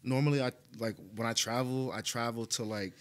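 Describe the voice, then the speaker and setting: A man's voice: mid-range voice, steady tone, a little fast. A man from the United States speaking casually and reflectively as an interview guest, with slangy phrasing and lots of "like" and "right?". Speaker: interview guest, media and marketing executive.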